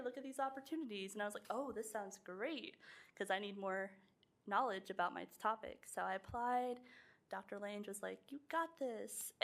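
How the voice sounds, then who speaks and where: A woman teacher giving a presentation to a school board and answering questions, talking chattily with many fillers. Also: medium pitch.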